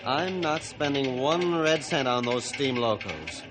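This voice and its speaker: A man acting stubborn blustering boss, medium pitch, irritable, domineering, old radio drama. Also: expressive, measured pace, background noise, lightly acted. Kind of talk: 1950s radio adventure acting.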